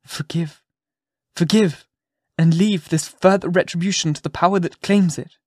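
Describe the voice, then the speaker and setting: A man's voice: medium pitch, monotone, normal speed, darkish tone, slightly rough, dry recording, studio quality, natural voice. A man voicing an anguished but resolute woman who pleads with dignity in impassioned, archaic storybook speech. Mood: earnest pleading. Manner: archaic, impassioned, emphatic delivery.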